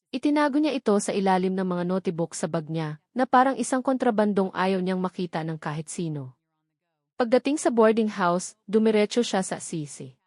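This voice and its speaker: A woman's voice: medium pitch, a little mellow, dry recording. Filipino woman narrating a dramatic story, dry sound. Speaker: audiobook narrator.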